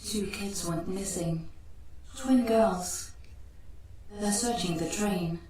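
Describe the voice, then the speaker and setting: A woman's voice: mid-range voice, slow pace, noisy background, lightly acted. A woman playing a blunt, worried ghost companion in an Old West audio drama, folksy and urgent, her voice echoing from a distance. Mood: matter-of-fact. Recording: very echoey, distant, music behind.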